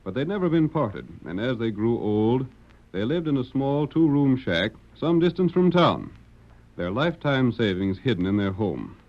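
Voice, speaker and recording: A man's voice: mid-range voice, big pitch swings, moderate pace. A man with an American accent narrating a scripted old-time radio drama in a formal, dramatic announcer style. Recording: dull old radio recording.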